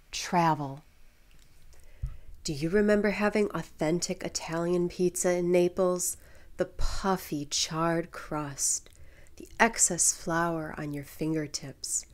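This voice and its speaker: An American woman voice-over artist reading a scripted travel commercial in an evocative, softly breathy storytelling delivery. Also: fairly low pitch.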